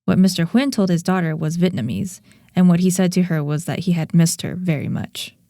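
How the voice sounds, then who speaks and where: Mellow-voiced woman podcast host. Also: lowish voice, brisk. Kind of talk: scripted narration.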